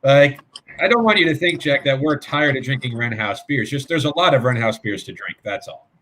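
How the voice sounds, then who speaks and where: A man's voice: medium pitch, lively intonation, brisk, bright, forceful tone, slight background noise. A man co-hosting a casual online drinks show, easygoing and self-mocking. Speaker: co-host.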